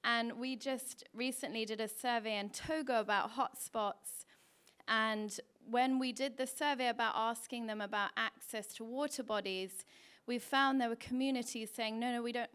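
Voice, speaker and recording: A woman's voice: a little high, fairly even intonation, moderate pace, fairly bright tone, smooth. A woman from the audience at a conference, commenting and asking a question in a conversational way with fillers. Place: conference breakout room.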